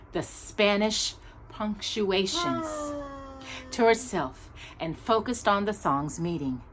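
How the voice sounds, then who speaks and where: A woman with a slightly husky voice reads a children's picture book aloud as a storytime host, stressing key words expressively. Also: on the low side, a little hiss or hum. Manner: animated, emphatic storytelling with pauses on key words.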